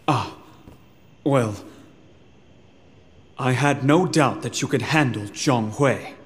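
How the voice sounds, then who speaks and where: A man's voice: medium pitch, slow, natural voice. An actor playing a young, cool, calculating nobleman in a video game dub, speaking formally and with composure. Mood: respectful. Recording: long hall reverb, faint music.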